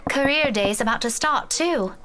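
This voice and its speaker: Woman playing a high-voiced, gentle young schoolteacher. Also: moderate pace, close to an everyday voice. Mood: gentle coaxing. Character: friendly young female schoolteacher coaxing a shy child. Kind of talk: visual novel voice acting.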